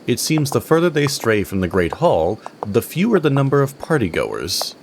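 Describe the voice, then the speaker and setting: A man's voice: mid-range voice, wildly swooping pitch, normal speed, slight background noise. A man playing a solemn storyteller, giving dramatic scripted narration for a fantasy audio drama. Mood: measured, ominous.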